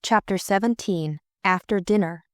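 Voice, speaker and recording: A woman's voice: medium pitch, moderate pace, clean tone, no room sound, clean studio sound. A woman reading a scripted mystery recap and novel as an audiobook co-narrator, in dramatic style.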